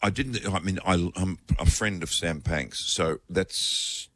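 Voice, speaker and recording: An older man talks on a radio chat with a very deep, low-pitched voice. The sound is close and dry. He speaks haltingly, often restarting and repeating words before he lands on his point. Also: sharp tone, dry recording. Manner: halting, repeats words while gathering thoughts. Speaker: radio co-host.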